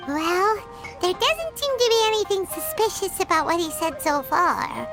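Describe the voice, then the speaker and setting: A woman's voice: very high-pitched, big pitch swings, normal speed, over music. A woman voicing a tiny, chirpy and nosy female fairy companion in a video game, who talks about herself in the third person. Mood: curious.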